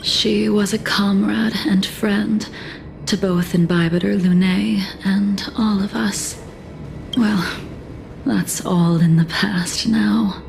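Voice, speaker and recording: Slow, creaky, solemn swordswoman. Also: mid-range voice, steady tone, darkish tone, a little hiss or hum, rough recording. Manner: measured, formal, wistful. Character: solemn, cool-headed veteran swordswoman mourning a lost comrade. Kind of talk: dramatic video game acting.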